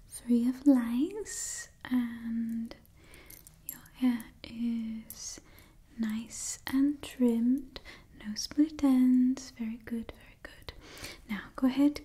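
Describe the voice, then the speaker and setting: A woman in her twenties doing an ASMR roleplay in a soft, breathy whisper, close to the mic, soothingly repeating her phrases. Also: fairly high pitch. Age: 20s. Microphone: close-up ASMR microphone.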